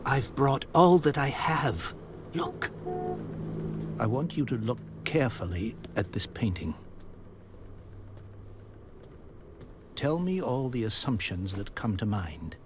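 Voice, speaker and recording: A man playing a proud, grieving elderly gentleman who speaks formally and earnestly in a video game. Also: mid-range voice, very animated, a little slow, noisy background. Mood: pleading, formal. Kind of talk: dramatic video game dialogue.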